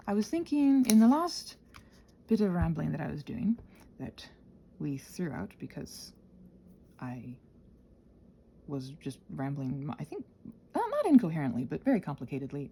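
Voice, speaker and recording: Woman rambling casually, animated pitch, mellow voice. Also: creaky, husky. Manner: scattered, self-deprecating, trails off and digresses. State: tired, congested from a sinus infection, slightly sore throat. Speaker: solo host of a rambling audio channel.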